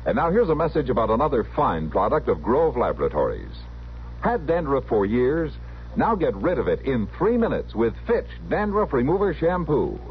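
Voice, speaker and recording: A man's voice: mid-range voice, wildly swooping pitch, moderate pace, slight background noise. An American man reading scripted copy as a 1950s radio announcer. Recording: muffled vintage radio broadcast with music.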